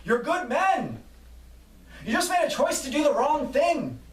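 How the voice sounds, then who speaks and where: A man voicing an earnest male protester who loudly urges workers to quit their jobs. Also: very high-pitched, big pitch swings, a little slow, pitched above the performer's own voice, exaggerated voice. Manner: loud, earnest appeals. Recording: echoey room from a distance.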